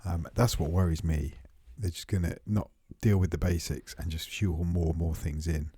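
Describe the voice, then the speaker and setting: A deep-voiced man co-hosts a tech podcast in a relaxed, thoughtful way, pausing with frequent ums. His voice is mellow and slightly husky, and it sits dry and close to the mic. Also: no room sound. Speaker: podcast co-host. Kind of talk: casual tech chat.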